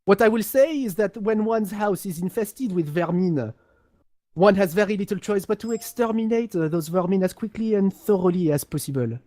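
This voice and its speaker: A man voicing a pompous, condescending highborn aristocrat of a galactic empire in a halting, haughty delivery, as in an amateur role-play of game lore. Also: warm tone, no room sound, natural voice. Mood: indignant, disdainful.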